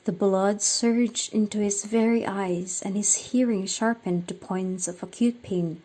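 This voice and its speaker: Mellow woman narrator. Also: medium pitch, steady tone, unhurried. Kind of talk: scripted story narration for radio drama.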